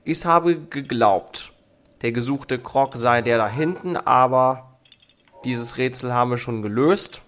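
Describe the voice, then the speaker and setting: A man's voice: medium pitch, unhurried, fairly bright tone. A young man with a cold, hosting a let's play and commenting casually on his game while reading its text aloud. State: has a cold. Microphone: studio mic on arm. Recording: faint game music behind.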